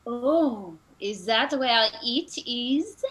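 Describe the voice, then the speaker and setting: Coquettish mademoiselle, high, bright, slow and saucy, comic radio play. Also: female voice, lively intonation, exaggerated voice. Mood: flirtatious. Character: flirtatious, saucy mademoiselle guest.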